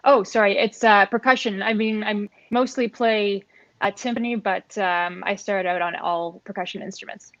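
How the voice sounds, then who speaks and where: A woman's voice: mid-range voice, steady tone, moderate pace, fairly bright tone, dry recording. A Canadian woman in her thirties answering casually as an interview guest over a lo-fi online call. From Halifax, Nova Scotia, Canada. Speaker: guest, percussionist and arts administrator. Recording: dull, lo-fi online call audio.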